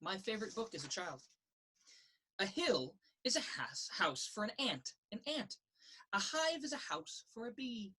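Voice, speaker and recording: A woman reads a children's picture book aloud. Her pitch moves in a lively, expressive way through a sing-song rhythm. The voice has a husky, slightly rough edge. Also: unhurried, rough recording. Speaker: story reader. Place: indoors in a children's library.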